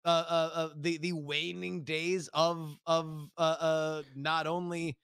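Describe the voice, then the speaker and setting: An American man co-hosting a podcast in casual chat, halting often and circling back over his words. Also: on the high side, little pitch movement, a bit bright, clean tone, dry recording, clean studio sound. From United States. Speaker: podcast co-host.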